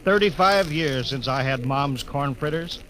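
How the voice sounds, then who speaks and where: An actor playing a homesick rocket captain in a 1950s radio drama, eager and nostalgic. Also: male voice, mid-range voice, a little slow, fairly bright tone, slight background noise, lightly acted, low-quality recording.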